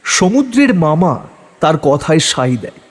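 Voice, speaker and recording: Husky male story narrator in long reverb. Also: fairly high pitch, very animated, measured pace. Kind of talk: dramatic scripted horror-story narration.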